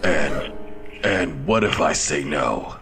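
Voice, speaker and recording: A man voicing a grim, brooding vigilante hero in a motion comic, gravelly, terse and stern. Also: a bass voice, lively intonation, slow, over loud music, full character voice. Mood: stern, guarded. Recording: clear background noise.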